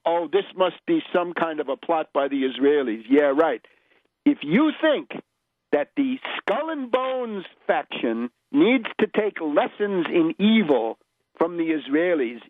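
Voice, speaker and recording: A man delivering an unscripted political radio monologue in a scornful, emphatic, opinionated manner, with halting, deliberate phrasing. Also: sits high, no room sound. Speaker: political radio commentator and host. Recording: dull, lo-fi radio audio.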